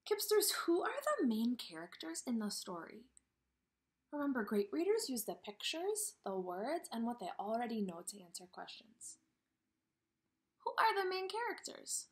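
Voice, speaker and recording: A woman teaching kindergarten through a read-aloud lesson, speaking directly to young children and asking them questions in an animated way. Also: on the high side, moderate pace.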